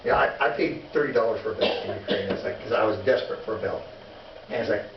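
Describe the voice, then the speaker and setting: A man teaching a missions class in a relaxed, halting way, with many restarts and fillers while telling stories. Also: lowish voice. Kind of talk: informal classroom lecture. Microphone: distant room mic. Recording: echoey, distant, muffled classroom recording with noise.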